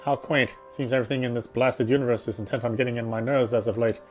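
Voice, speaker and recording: A man reading first-person story narration aloud in a theatrical, fussy gentleman's manner. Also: medium pitch, steady tone, quick pace, faint music behind. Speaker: game player reading aloud.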